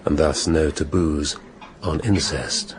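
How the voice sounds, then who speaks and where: An actor playing a probing, wry police inspector in a radio drama, questioning tersely. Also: male voice, very deep voice, steady tone, slow pace, a crowd in the background. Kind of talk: naturalistic British radio drama acting.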